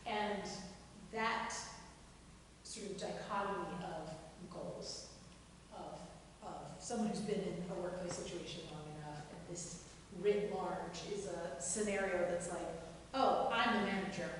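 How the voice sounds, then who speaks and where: A woman's voice: on the low side, measured pace. Woman running a feedback talk, asks open questions, really echoey and far off, bit of noise. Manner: animated, acts out imagined quotes. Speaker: moderator of a play feedback session. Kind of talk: spontaneous group discussion, asking open questions. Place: echoey indoor room, group gathering. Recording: echoey room, distant mic, faint noise.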